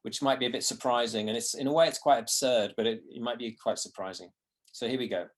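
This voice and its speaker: A man, answering questions after a lecture in a relaxed, unhurried way. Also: medium pitch. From London. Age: 50s. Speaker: artist giving a lecture.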